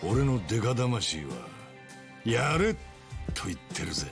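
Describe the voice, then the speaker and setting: A man's voice: mid-range voice, very animated, moderate pace, vocal fry, music in the background. An actor playing a bold, big-hearted middle-aged man who rallies his friends in a Japanese video game drama. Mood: determined.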